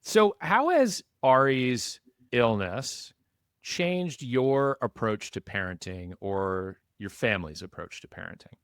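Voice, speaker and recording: A man's voice: medium pitch, sing-song, takes it slowly, fairly bright tone, smooth, no room sound, studio quality. A male podcast host, curious and affirming, asking long conversational questions. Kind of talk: conversational interviewing.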